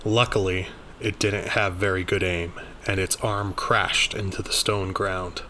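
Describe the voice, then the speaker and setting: A man narrates a scripted horror story in the first person. His voice is on the low side, with a strong bright, forceful edge. There is faint noise in the background. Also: flat delivery, measured pace.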